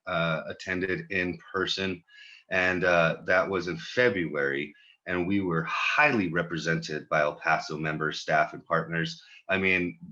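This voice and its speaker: A man's voice: sits low, bright, forceful tone, lo-fi sound. A man leading a virtual meeting, speaking casually in the manner of a sports announcer as he thanks people and reviews the year.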